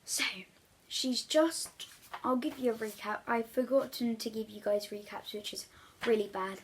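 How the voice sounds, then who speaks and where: A woman reading a children's story aloud in an expressive storytelling style, as the reader addressing young listeners. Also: a little high, normal speed, a little hiss or hum.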